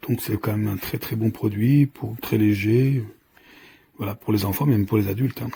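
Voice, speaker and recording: A man giving a casual hands-on product demonstration as a reviewer, explaining haltingly and often restarting his sentences. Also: fairly low pitch, creaky, mellow, a little hiss or hum.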